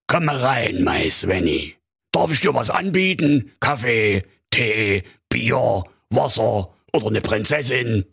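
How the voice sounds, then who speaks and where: A man voicing a big, gravelly dragon in a comic storybook tale, speaking with a Saxon accent. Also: mid-range voice, animated, slow, fairly bright tone, full character voice. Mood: cosy, chummy. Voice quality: gravelly, breathy. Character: huge gravelly dragon turned sweet and chummy.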